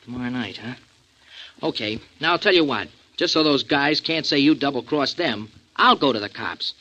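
An actor playing an earnest, streetwise small-time sidekick with an American accent and slangy, ungrammatical speech, in 1940s radio drama style. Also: male voice, mid-range voice, lively intonation, normal speed, bright, forceful tone, dull audio. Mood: puzzled, frank. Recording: vintage 1940s radio recording.

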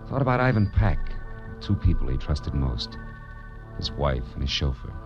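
World-weary male private eye, very low, terse narration, dull old radio sound. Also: sing-song, normal speed. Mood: brooding, resigned. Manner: terse, brooding, clipped phrases. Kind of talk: 1940s radio noir first-person narration. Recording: dull 1940s radio recording. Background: prominent dramatic music.

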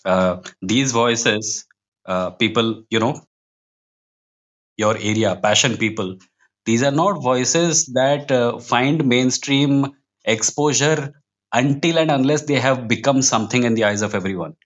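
A man's voice: medium pitch, unhurried, fairly bright tone. A man with an Indian accent speaking casually as a guest in a podcast conversation.

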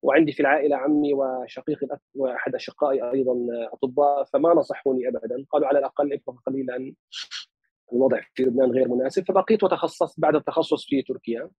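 Fast-talking husky man, measured and formal. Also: mid-range voice, fairly even intonation, no echo, highs cut off. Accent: Palestinian Arabic as spoken in Lebanon. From Lebanon. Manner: measured, formal, many hesitations. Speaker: guest, physician and analyst. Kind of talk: interview conversation.

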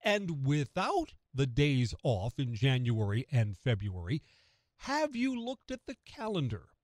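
A man's voice: mid-range voice, big pitch swings, a little slow, no echo, clean studio sound. An American man hosting morning talk radio, delivering a conversational opinion monologue and putting rhetorical questions straight to the listener. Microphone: close studio radio microphone.

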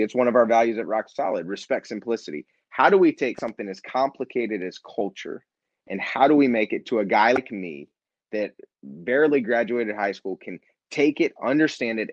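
A man's voice: mid-range voice, dry recording. A man speaking as an interview guest in a conversational, motivational style, emphatic and repeating phrases for effect. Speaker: interview guest, nonprofit founder and CEO. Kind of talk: animated conversational interview, motivational leadership talk. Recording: slightly dull, lo-fi audio.

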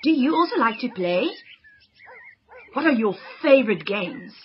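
A woman's voice: on the high side, animated, unhurried, highs cut off, a little hiss or hum. A woman voicing a cheerful, healthy girl who greets the viewers and chats to them directly. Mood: chirpy. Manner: chirpy, addresses viewers directly.

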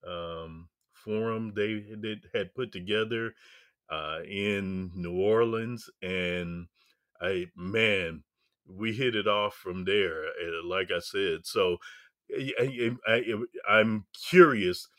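Man, podcast host, slangy casual chat, slow delivery, dry close sound. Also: sits low, a bit bright, dry recording. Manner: slangy and affectionate, calls listeners fam and guest brother.

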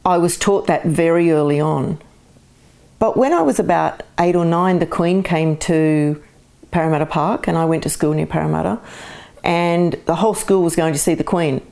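A woman's voice: low voice, moderate pace, gravelly, a little mellow. A woman, an artist talking frankly and with humour in an interview. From Scotland. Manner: frank, opinionated, laughs often. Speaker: artist guest. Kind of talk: casual conversational interview.

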